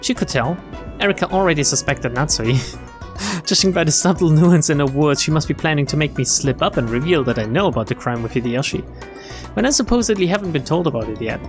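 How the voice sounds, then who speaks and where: A man's voice: on the high side, lively intonation, fast talker, over music. A man streaming a game, reading its narration aloud expressively with wry, casual commentary. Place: game stream with music behind.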